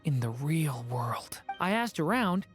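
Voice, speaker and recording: A gentle dragon boy from a game, earnest and soft-spoken. The voice sits on the low side for a woman and moves expressively, with faint music behind it. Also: very animated, takes it slowly, slightly rough, exaggerated voice. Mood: curious. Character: gentle young male dragon in human form, earnest and curious. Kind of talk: video game character acting.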